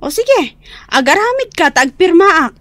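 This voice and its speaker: A woman's voice: very high voice, very animated, moderate pace, fairly bright tone, no room sound, studio quality, full character voice. A woman playing an indignant young single neighbour with an Ilocano accent in a comedy radio drama. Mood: determined.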